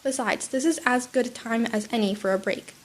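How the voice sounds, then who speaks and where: A sniffly woman reading the lines of a tired, blunt male hero in a dry, deadpan way. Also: on the high side, a little fast, close to an everyday voice. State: sick, slightly sniffly.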